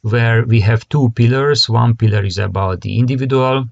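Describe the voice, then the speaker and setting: A man with a Hungarian accent giving an academic conference lecture in halting, hesitant phrasing that often repeats words. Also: mid-range voice, steady tone, creaky, dry recording, highs cut off. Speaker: conference presenter, career guidance expert.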